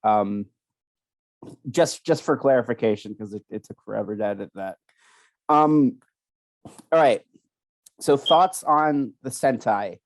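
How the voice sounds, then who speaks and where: A young man co-hosting a casual chat, rambling and halting with many restarts, still sniffly from a cold. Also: medium pitch, no echo. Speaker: podcast co-host.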